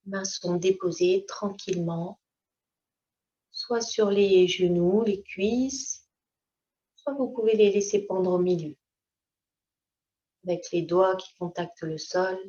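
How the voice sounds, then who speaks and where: Woman guiding meditation, dull lo-fi recording. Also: mid-range voice. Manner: calm, unhurried, gently instructing in short phrases. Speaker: yoga teacher. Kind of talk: slow guided yoga nidra meditation. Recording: dull, lo-fi sound.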